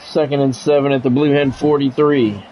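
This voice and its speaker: A man's voice: medium pitch, fairly even intonation, moderate pace, warm tone, soft background music, a faint crowd, rough recording. A man calls football play-by-play in short, clipped phrases. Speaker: play-by-play announcer. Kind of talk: American football game commentary.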